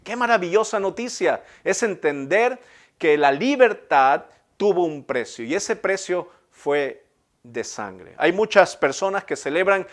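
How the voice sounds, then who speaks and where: Ecuadorian pastor, male, high-pitched, emphatic sermon delivery with repeated lines and questions. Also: animated, unhurried. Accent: Ecuadorian Spanish, Guayaquil. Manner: emphatic, repetitive preaching with rhetorical questions.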